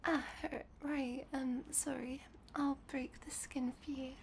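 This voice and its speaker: A woman playing a shy, nervous and tender young vampire girlfriend in an intimate ASMR roleplay, stammering and often slipping into breathy whispers. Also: high-pitched, level tone, slow, darkish tone, a little hiss or hum. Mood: nervous, hesitant.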